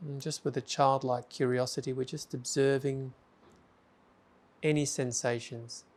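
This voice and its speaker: Guy leading a meditation, slow and gentle, pretty monotone, mid pitch. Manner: slow, calm, gentle instructions with long pauses. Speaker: meditation guide. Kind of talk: guided meditation.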